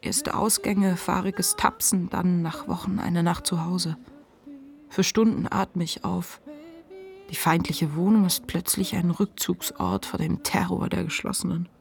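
An actress playing the inner voice of a depressed writer, weary and unflinching, in a measured literary monologue for a radio play. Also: female voice, on the low side, fairly even intonation, vocal fry. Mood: foreboding, fragile.

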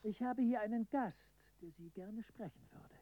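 A woman's voice: fairly high pitch, normal speed, slight background noise, close to an everyday voice. A woman playing a caller heard on a distant intercepted phone recording in a German radio drama. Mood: guarded. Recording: distant, quiet taped phone call.

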